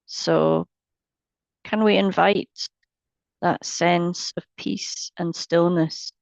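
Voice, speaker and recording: A woman with a slightly low voice teaches mindfulness in a guided meditation. She speaks very slowly and gently, breaking her sentences into short phrases with long pauses. The recording is dry and a little dull. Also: fairly even intonation, creaky, no echo.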